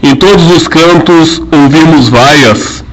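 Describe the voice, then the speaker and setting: A man's voice: a little high, slow pace, background noise. A young man playing a formal radio news announcer, reading scripted narration.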